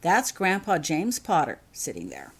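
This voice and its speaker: A woman voicing a solemn older boy who calmly guides younger children in a story reading. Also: fairly low pitch, a little slow, vocal fry, slightly rough, full character voice. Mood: quietly instructive.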